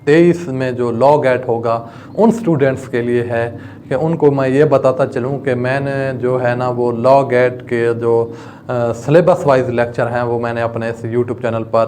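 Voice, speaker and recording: A man's voice: mid-range voice, darkish tone, slight background noise. Male online law lecturer, Pakistani accent, mid-range voice with little melody, step-by-step teaching. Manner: addresses students directly, explains step by step. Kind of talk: instructional lecture mixing in English legal terms.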